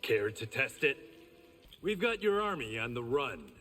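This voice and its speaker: A man's voice: mid-range voice, big pitch swings, unhurried, sharp tone, faint music behind, exaggerated voice. An actor playing a tough, wry reformed criminal swordsman with dry, confident banter in a video game. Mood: defiant. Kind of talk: video game fight intro acting. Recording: long hall reverb.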